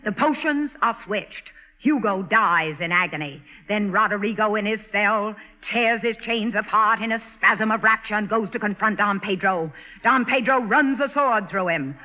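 A woman's voice: medium pitch, normal speed, close to an everyday voice. A woman playing a bold, impatient tomboy with literary ambitions, emphatic and headstrong, in 1940s radio drama style. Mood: eager storytelling. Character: bold, impatient tomboy girl, aspiring writer. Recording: muffled old radio broadcast recording.

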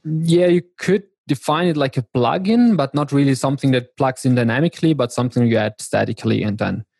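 A man's voice: medium pitch, brisk, creaky, darkish tone, slightly rough, dry recording. Man, dry sound. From South Tyrol, northern Italy. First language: German. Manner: explanatory, with restarts. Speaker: guest software developer. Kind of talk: casual technical chat.

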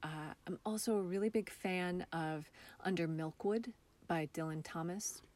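An American woman with a slightly low voice talks as an interview guest. Her style is casual and reflective. She phrases things thoughtfully, often halting as she finds her words. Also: a little mellow. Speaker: interview guest, theater artist.

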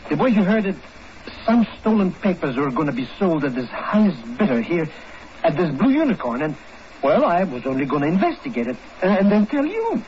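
Comic sidekick crook: excitable, stammering, swooping delivery, old radio play with heavy noise. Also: male voice, high voice, brisk. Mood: nervous. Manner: flustered, stammering, mangles his words. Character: nervous, shifty small-time crook, excitable comic sidekick. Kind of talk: 1950s radio drama acting. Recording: dull, noisy old 1950s radio recording.

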